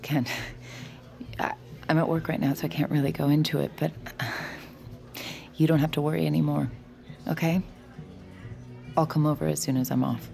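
An actress playing a flustered, warm-hearted working woman in naturalistic audio drama, speaking casually and haltingly. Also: deep voice, level tone, gravelly, soft background music. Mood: casual, relieved.

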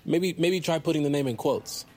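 A man's voice: a little high, normal speed, darkish tone, natural voice. A man playing an exasperated, dry and terse bounty hunter in an audio drama. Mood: dry exasperation. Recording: echoey hall, faint room noise.

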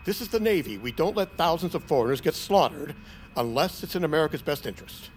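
Man acting a fast, commanding naval officer. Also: fairly high pitch, animated, natural voice, poor audio. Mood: brash, resolute. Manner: commanding, declarative. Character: brash, jingoistic male naval commander. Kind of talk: audio drama acting. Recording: faint noise of vehicle ambience.

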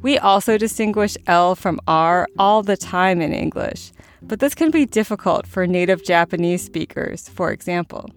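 A woman hosting a science podcast, reading scripted narration in a measured, explanatory way. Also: lowish voice, faint music behind. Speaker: podcast host.

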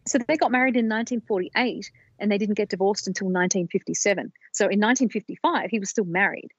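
A woman co-hosting a podcast, explaining research in a measured storytelling way on a slightly dull, lo-fi recording. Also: mid-range voice. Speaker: podcast co-host presenting research.

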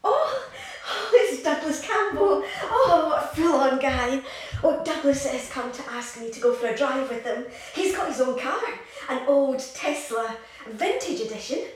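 A woman's voice: high-pitched, lively intonation, unhurried, few pauses. A woman playing a gushing, bossy doting mother in an audio play, breezy and flighty. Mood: giddy, excited. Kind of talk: audio drama acting. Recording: very echoey, distant, faint noise.

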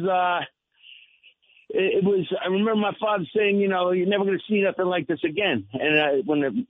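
A man, reminiscing casually and haltingly as a caller to a radio show. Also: high voice, no room sound. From New York State. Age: 60s. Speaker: phone-in caller. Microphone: telephone. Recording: narrow, tinny, dry phone line.

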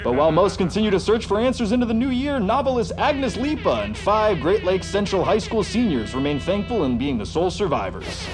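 High-toned American male news reporter. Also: very animated, brisk, hardly any pauses, low-quality recording. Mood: solemn. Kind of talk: scripted news report within audio drama. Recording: music behind the voice.

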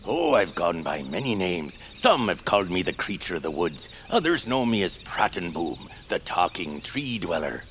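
An actor playing an eccentric, socially awkward bearded hermit creature in a family audio drama, rambling and friendly. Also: male voice, deep voice, big pitch swings, moderate pace, few pauses, a bit bright, a little hiss or hum, full character voice. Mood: chatty, quirky. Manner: rambling, muttering, quirky. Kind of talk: family audio fiction character acting.

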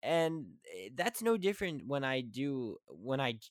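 Young man, podcast host, fast talker, stumbles on words, mid pitch, dry close sound. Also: sing-song, no echo. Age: young adult. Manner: fast, stumbling, restarting often. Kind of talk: casual talk about acting.

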